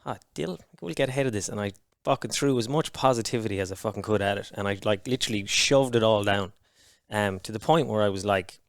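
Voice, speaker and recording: A man's voice: on the low side, dry recording, clean studio sound. A man speaking candidly as a podcast guest, swearing often and restarting his sentences. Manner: frank and heavy-swearing, halting restarts. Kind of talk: candid conversation.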